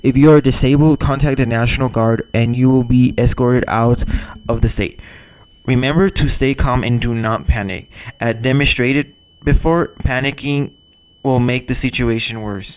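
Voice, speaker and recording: A dull, lo-fi recording of a man acting as a male emergency alert announcer. He has a mid-pitched voice and reads a scripted warning in a grave, formal, deliberate manner. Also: fairly even intonation, lightly acted. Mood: urgent, instructive. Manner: formal, deliberate, occasional stumbles over words. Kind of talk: scripted emergency broadcast reading. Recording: dull lo-fi audio.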